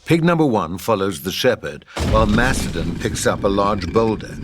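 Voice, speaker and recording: A man's voice: lowish voice, sing-song, normal speed, heavy background noise. A man reading scripted screenplay narration as the narrator of a table read.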